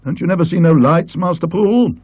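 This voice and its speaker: Blunt teasing village blacksmith played by a male reader, rustic English accent, storybook tale, mellow husky voice. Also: fairly high pitch, a little fast, no echo, highs cut off, voice pushed up. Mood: insinuating. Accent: rural English. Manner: rustic, insinuating. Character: blunt, teasing village smith, a gossipy countryman.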